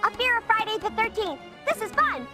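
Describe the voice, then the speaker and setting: Sassy tiny cartoon girl critter, high voice, game cutscene. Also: big pitch swings, a little fast, music in the background. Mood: playful, know-it-all. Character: sassy, cute little cartoon sister creature. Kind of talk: cartoon video game acting.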